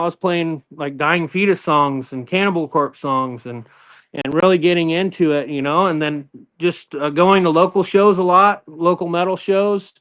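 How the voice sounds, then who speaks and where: A man's voice: high voice, clean tone, muffled recording. A middle-aged man from Northern California, a guest guitarist in a casual interview, easygoing and rambling with lots of fillers and restarts.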